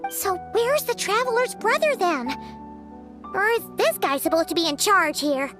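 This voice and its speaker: Very high, squeaky little fairy. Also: female voice, very animated, moderate pace, over music. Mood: alarmed. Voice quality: squeaky, childlike. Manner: chirpy, exclaiming, refers to self in third person. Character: Paimon, small excitable childlike female fairy companion. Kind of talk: anime-style video game voice acting.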